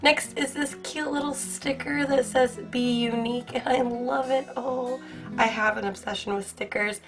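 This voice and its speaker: Young female vlogger, a bit high-voiced, crackly from a cold, bubbly and chatty over faint music. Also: fairly even intonation, moderate pace. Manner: bubbly, gushing, filler-heavy. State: hoarse from a cold. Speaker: art channel host. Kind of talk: casual unboxing review.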